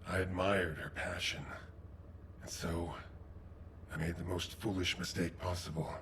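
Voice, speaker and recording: Game casting: a remorseful ex-soldier professor. Very low voice, grave and measured, faint ambient noise behind. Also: male voice, fairly bright tone. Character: remorseful veteran soldier and military professor, solemn older man. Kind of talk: video game voice acting.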